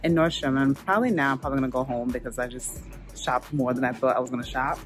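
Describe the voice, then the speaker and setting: A woman in her thirties talks to her phone camera in a relaxed, chatty way, full of likes and ums. Her voice sits low and easy. Music plays clearly beneath her voice. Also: fast talker. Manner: chatty, filler-heavy, relaxed. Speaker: lifestyle vlogger. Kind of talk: casual vlog chat to camera. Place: indoors, home and shopping mall. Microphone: phone camera at arm's length.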